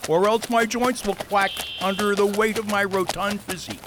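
A man's voice: high-pitched, steady tone, moderate pace, noisy background, lightly acted. An actor playing a rotund, lazy, pompous king with florid, blustering phrasing in a radio play. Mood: blustering, smug. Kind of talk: theatrical radio drama acting.

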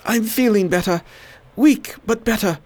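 A man's voice: high-pitched, a little slow, darkish tone. A man playing a sick nobleman in a radio drama, his voice weak and pained. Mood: weak, weary. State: weak, in pain. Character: sick, suffering man of rank, pleading then weary.

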